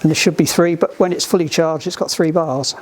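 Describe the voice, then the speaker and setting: A man giving hands-on instruction to a group in a halting, deliberate, emphatic way, on an amateur recording. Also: fairly high pitch, steady tone, a little hiss or hum. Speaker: sailing club instructor giving radio training.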